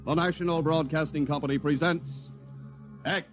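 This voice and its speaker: A man with an American accent giving dramatic, deliberate scripted narration as a 1950s radio announcer. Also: a little high, fairly even intonation. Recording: old radio broadcast with music.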